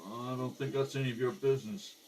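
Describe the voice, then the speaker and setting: A man's voice: mid-range voice, fairly even intonation, brisk, a little mellow, a little hiss or hum, pitched above the performer's own voice, natural voice. Guy doing a bum suspect in an old cop radio play, worked up n pleading, slangy, voice pushed up, bit distant. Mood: irritated. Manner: rough slangy speech, protesting. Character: defensive down-and-out male murder suspect, pleading and agitated. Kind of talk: radio crime drama acting. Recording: slightly distant home microphone.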